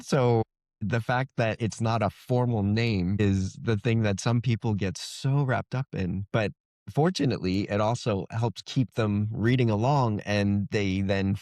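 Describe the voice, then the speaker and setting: A man's voice: fairly low pitch, normal speed, darkish tone, no room sound, studio quality. A man talking casually as an interviewed author, explaining things in a wry, good-humored way. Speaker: fantasy author being interviewed.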